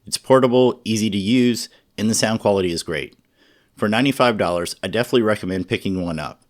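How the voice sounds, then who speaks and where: A man narrating a scripted product review in a measured, informative way. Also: lowish voice. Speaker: radio reviewer.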